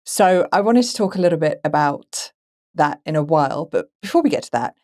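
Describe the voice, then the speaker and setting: A woman's voice: deep voice, animated, brisk, darkish tone. A woman hosting a podcast, asking questions in a conversational interviewing style. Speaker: podcast host.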